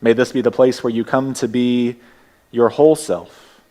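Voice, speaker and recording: A man with a Texas accent leading a church service, welcoming people and giving opening words in a gentle, unhurried way. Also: medium pitch, steady tone. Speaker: worship leader. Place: church sanctuary.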